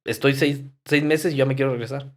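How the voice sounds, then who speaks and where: Mexican male podcast guest: mid-pitched, colloquial, emphatic storyteller. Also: fairly even intonation, fairly quick. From Durango, Mexico. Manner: emphatic, colloquial, salty, uses rhetorical questions and acted-out quotes. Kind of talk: casual podcast conversation with anecdotes.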